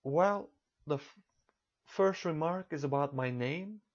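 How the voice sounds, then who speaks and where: A man in his thirties from Turkey with a Turkish accent, delivering a recorded online college lecture in a measured, careful way. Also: fairly high pitch, lo-fi sound. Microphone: computer mic for a narrated slideshow.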